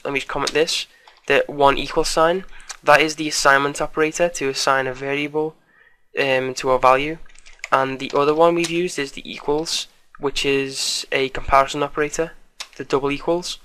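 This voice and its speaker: Bright-voiced man, flat delivery, slightly croaky. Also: fairly high pitch. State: recovering from a cold, slightly croaky. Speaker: tutorial presenter. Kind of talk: casual screencast programming tutorial.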